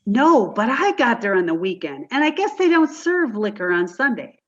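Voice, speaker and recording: Woman doing a scatterbrained young secretary, high bright voice, swooping sing-song lines, dull lo-fi sound. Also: very animated, moderate pace. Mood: cheerful, dizzy. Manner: breathless, dizzy, sing-song delivery. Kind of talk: 1950s radio comedy acting.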